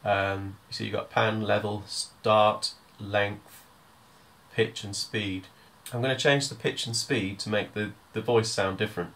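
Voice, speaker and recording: A man giving a hands-on music software tutorial, explaining step by step in an informal, hesitant way. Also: fairly low pitch, steady tone, a little slow.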